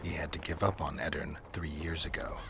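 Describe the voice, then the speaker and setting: Casting: laconic fantasy mercenary for a game, gruff growl, low register, flat delivery. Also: male voice, brisk, a little hiss or hum, exaggerated voice. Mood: cool, probing. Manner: terse, flat, unbothered. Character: gruff, laconic, world-weary male monster-hunting witcher. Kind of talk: video game dialogue acting.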